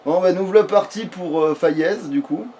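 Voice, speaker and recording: A man's voice: high-pitched, normal speed, a little mellow, a little hiss or hum. A man giving casual, rambling let's play commentary, often swearing coarsely. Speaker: video game streamer.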